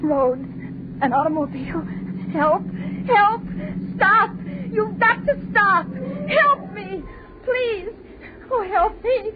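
An actress playing a terrified, pleading young woman in 1930s radio horror, breathless and halting. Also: extremely high pitch, animated, heavily acted. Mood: desperate cries. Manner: breathless, halting, repeating herself in dread. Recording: noisy, tinny 1930s radio recording.

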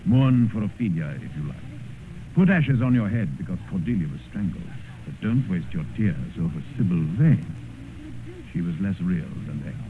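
An actor playing a worldly, cynical gentleman who also narrates a radio drama in a suave, measured, eloquent way. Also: deep voice, lively intonation. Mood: cynical, smooth. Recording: noisy, muffled vintage radio recording.

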